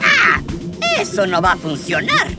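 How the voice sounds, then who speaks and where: A woman's voice: on the low side, huge pitch swings, unhurried, over loud music. A woman playing a flustered, clumsy weasel in a children's cartoon. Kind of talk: animated children's show dialogue dubbed in Spanish.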